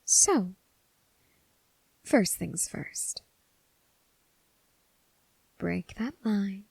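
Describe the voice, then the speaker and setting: A woman voicing a seductive, predatory female demon in a teasing, whispered ASMR roleplay, speaking slowly and intimately to the listener. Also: medium pitch, lively intonation, darkish tone, dry recording, exaggerated voice, low-quality recording. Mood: commanding, seductive. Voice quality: breathy, mostly whispered. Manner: slow, deliberate, teasing, coaxing.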